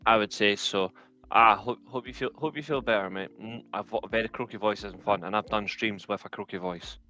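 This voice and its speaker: Tired man, low voice. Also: a little fast, pushed and bright. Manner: rambling, repeats words, stumbles at starts. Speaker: co-host. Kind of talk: casual live stream chat.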